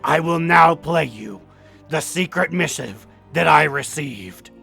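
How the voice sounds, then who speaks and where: Man playing Growlina, a slow, creaky news reporter. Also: fairly high pitch, expressive, pushed and bright, faint music behind, full character voice. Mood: earnest, solemn. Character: Growlina, earnest on-the-scene fantasy news reporter.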